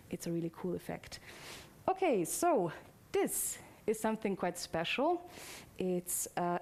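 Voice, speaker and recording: A woman giving an informal live presentation, conversational and a little hesitant, speaking directly to her audience. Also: on the low side. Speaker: presenter of a demo session. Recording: clearly noisy live event recording.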